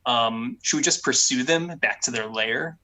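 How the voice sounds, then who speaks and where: A man playing a tabletop roleplaying game, talking casually and haltingly as he repeats words. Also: medium pitch, pushed and bright, poor audio. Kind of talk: casual in-character game discussion.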